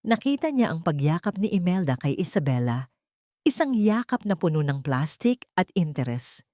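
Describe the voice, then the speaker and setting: A woman's voice: fairly low pitch, sing-song, moderate pace, mellow, no echo. A woman narrating a scripted audio story in a dramatic style.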